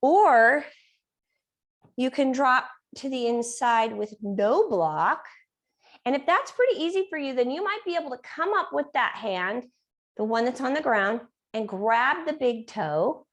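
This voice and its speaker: A woman teaching a yoga class and guiding poses step by step. She is losing her voice and keeps clearing her throat. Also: high voice, lively intonation, a little slow. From Reno area, United States. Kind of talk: guided yoga class instruction.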